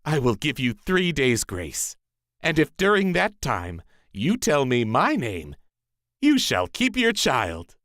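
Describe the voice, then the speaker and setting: A man's voice: mid-range voice, huge pitch swings, slow pace, fairly bright tone, dry recording, clean studio sound, full character voice. A man voicing a merry, sly little dwarf in a storybook, with a theatrical, singsong delivery. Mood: taunting. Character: little male dwarf, merry, sly bargaining magical creature. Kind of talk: storybook character voice.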